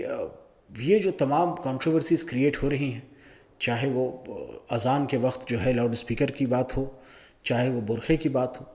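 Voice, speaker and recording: A man presenting news commentary in an informal, opinionated way, often pausing mid-sentence. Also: on the high side, darkish tone.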